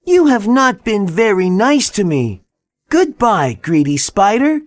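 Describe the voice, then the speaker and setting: Little village boy for a folktale adult male performer: kind yet firm, pitched up, deliberate. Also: sing-song, dry recording, full character voice. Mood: firm, scolding.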